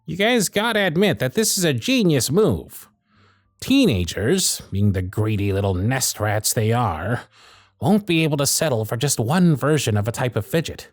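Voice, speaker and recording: Proud male supervisor, played by a man for ASMR roleplay. Mid-pitched, very expressive, sardonic and theatrical, dry close-mic sound. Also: moderate pace, no room sound, close to an everyday voice. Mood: indignant, scornful. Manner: theatrical, exasperated, sarcastic phrasing. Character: proud, sardonic male workshop supervisor, protective of his intern. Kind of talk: scripted ASMR roleplay acting. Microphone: close studio mic, dry.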